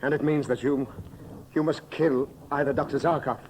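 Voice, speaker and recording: A man playing a grave, halting adventurer's companion in a 1930s radio serial, on a hissy old recording. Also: medium pitch, fairly even intonation, a little mellow, close to an everyday voice, poor audio.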